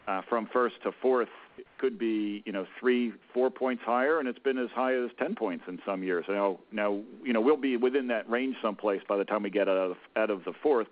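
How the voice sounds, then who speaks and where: A man with a cold, sounding croaky, answering analyst questions on an earnings call with many halting restarts. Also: lowish voice. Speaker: company executive answering analysts. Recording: tinny phone line.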